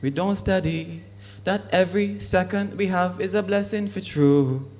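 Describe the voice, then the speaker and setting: A man's voice: high-pitched, measured pace. Man reciting rhyming verse. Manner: rhyming, steady declamatory delivery. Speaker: spoken word poet. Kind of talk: rhythmic spoken word performance.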